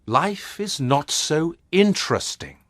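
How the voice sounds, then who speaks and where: A sharp-minded detective speaks slowly and deliberately, his pitch swooping widely as he weighs each word, as a man plays him in a storybook reading. The sound is close and dry. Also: big pitch swings, no echo. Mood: weary. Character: bored, curious male detective, dry and sharp.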